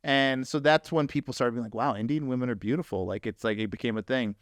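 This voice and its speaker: A man's voice: mid-range voice, fast talker, no echo, clean studio sound. A man from New Jersey hosting a podcast in casual chat, talking loosely with lots of filler words. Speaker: podcast host.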